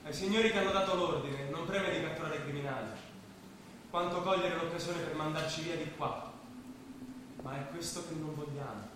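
Echoey, high-voiced defiant refugee played by an actor. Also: male voice, normal speed, lightly acted. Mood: proud defiance. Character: defiant male refugee besieged by police, proud and desperate. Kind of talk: stage drama acting. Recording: echoey theatre stage, distant, noisy.

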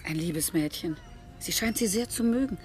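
An actress playing a kindly, fussing carer in a radio drama, talking in an effusive, chatty way. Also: female voice, lowish voice, animated, moderate pace, a little mellow, soft background music, natural voice.

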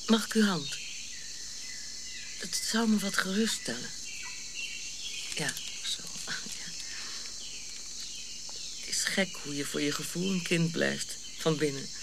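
An actress playing a proud, middle-aged colonial lady in a radio play, effusive and halting, easily wounded. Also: fairly low pitch, noisy background. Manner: dramatic, halting, repeating herself.

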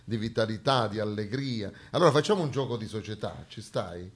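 A man's voice: on the low side, expressive, a little fast. A man performing a satirical, colloquial theatre monologue on stage, ironic and full of rhetorical questions.

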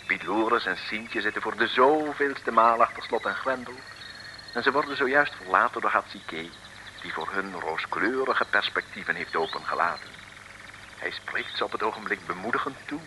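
A man's voice: lowish voice, animated, normal speed. A man narrating an old radio comedy serial from a script in a wry, ironic way. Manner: droll, ironic. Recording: noisy old radio recording.

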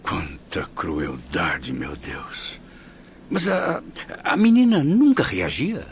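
An appalled, emotional Brazilian man in radio melodrama. His low voice swings dramatically as he questions and exclaims. The sound is dull, faintly noisy. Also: sing-song, slow, heavily acted. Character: indignant, emotional male listener appalled by cruelty. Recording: dull lo-fi, faint background noise.

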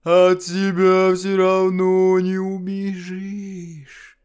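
A sinister house spirit whose voice is made of static drawls each word with crawling slowness. His tone is smooth, whispering and menacing. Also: high voice, completely flat delivery, darkish tone, pitched above the performer's own voice. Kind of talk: audiobook character voice.

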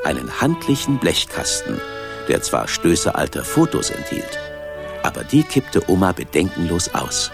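A man's voice: deep voice, wildly swooping pitch, unhurried, vocal fry. An older man narrating a children's radio play in a wry storytelling manner. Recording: accordion music under narration.

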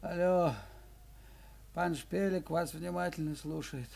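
Slow, creaky, fussy male shopkeeper. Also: on the high side, steady tone, darkish tone, exaggerated voice. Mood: formal, startled. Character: indignant, fussy male sausage-shop merchant. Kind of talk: 1970s Soviet audio play acting.

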